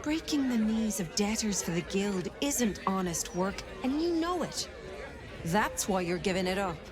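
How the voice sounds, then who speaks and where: A woman's voice: mid-range voice, animated, unhurried, close to an everyday voice. An actress playing a firm, loyal lady knight in a video game, earnest and admonishing. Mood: reproving. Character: firm, loyal female knight, reproving elder sibling. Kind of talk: video game character acting. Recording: busy crowd behind.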